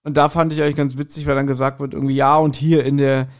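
A man co-hosting a podcast, chatting casually and retelling stories in a colloquial, somewhat halting way. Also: mid-range voice, level tone. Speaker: podcast co-host. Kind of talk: casual podcast chat, reviewing audio dramas.

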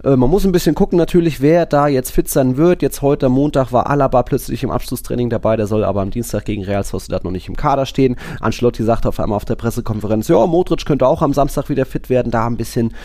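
A man's voice: mid-range voice, fast, hardly any pauses, mellow, dry recording, studio quality. A man chatting casually as co-host of a football podcast, in slangy, colloquial language.

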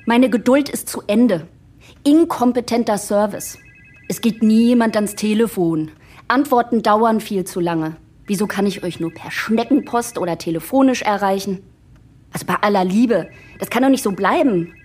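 A woman's voice: mid-range voice, normal speed, darkish tone, husky, lightly acted. An actress playing an impatient, forthright young woman pushing for change in an office audio drama, pointed and insistent.

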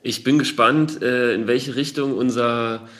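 A man from Berlin, a guest artist on a podcast, answering thoughtfully with many pauses and fillers. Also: mid-range voice, fairly even intonation, fairly bright tone. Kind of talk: casual podcast conversation.

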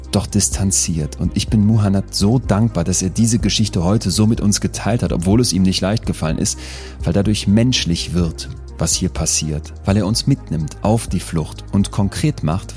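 A man's voice: deep voice, normal speed, darkish tone. A man closing a podcast episode with earnest, emphatic reflection. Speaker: podcast host. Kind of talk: closing narration. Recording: music clearly underneath.

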